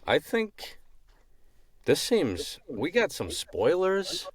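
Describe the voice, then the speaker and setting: A man's voice: high-pitched, wildly swooping pitch, very slow. A man co-hosting a podcast, bantering casually in an informal, wry way. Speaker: podcast co-host.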